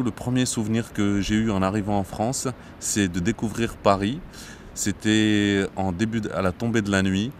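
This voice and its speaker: A man in his thirties from Morocco, a border police captain, speaking in a measured interview with frequent hesitations. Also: on the low side, darkish tone, a little hiss or hum. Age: 30s. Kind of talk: interview monologue. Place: outdoors near traffic.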